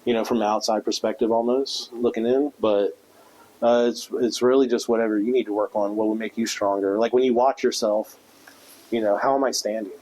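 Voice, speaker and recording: A man with a monotone voice, a stand-up comedian guest talking loosely on a podcast, full of fillers and restarts. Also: on the low side, fairly quick, poor audio. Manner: rambling, loose, with many fillers. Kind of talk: casual podcast interview.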